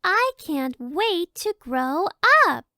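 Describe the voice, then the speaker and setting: A woman's voice: high voice, expressive, drawn-out words, pushed and bright, no room sound, clean studio sound, heavily acted. A woman voicing a cute, earnest young female sloth baby in a children's picture book read-aloud, with simple, childlike phrasing. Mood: hopeful, earnest.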